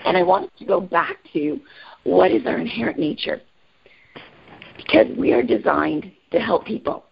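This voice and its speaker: A woman with a raspy voice today, leading a motivational group call in an upbeat, energetic way and asking the group questions. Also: on the high side, normal speed, highs cut off. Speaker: team call leader.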